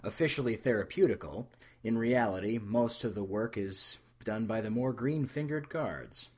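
A man's voice: on the low side, lively intonation, moderate pace, slightly rough. A man reading fiction aloud as a dramatic, scripted narration. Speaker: story reader.

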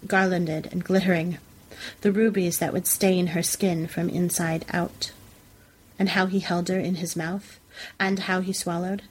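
A woman's voice: on the low side, slightly rough, poor audio. A woman reading a literary story aloud as a scripted narration for a fiction podcast.

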